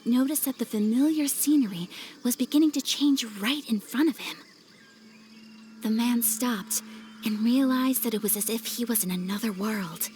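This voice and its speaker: A woman voicing a refined, gentle young noblewoman in a video game, speaking in a calm, measured and politely formal way. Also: fairly high pitch, slight background noise, natural voice.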